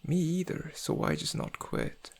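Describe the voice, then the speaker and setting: Smug, seductive male criminal played by a man for ASMR roleplay; husky, slightly higher-set voice, intimate drawl with menace underneath. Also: huge pitch swings, a little slow, full character voice. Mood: coaxing. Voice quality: gravelly, husky. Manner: intimate, teasing, drawling, often dropping to breathy murmurs. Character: suave, menacing male thief, flirtatious and smug.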